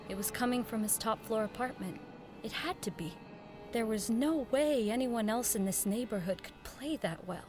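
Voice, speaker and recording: A woman's voice: mid-range voice, close to an everyday voice. An actress playing an earnest, nervous woman who works in network radio, in radio drama dialogue and narration.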